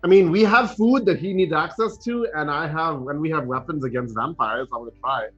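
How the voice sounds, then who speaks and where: A man playing a tabletop game, chatty and quick to correct himself. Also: fairly high pitch, fairly bright tone, slightly rough, highs cut off, faint music behind. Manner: chatty, self-correcting, laughs. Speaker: player. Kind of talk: casual tabletop play.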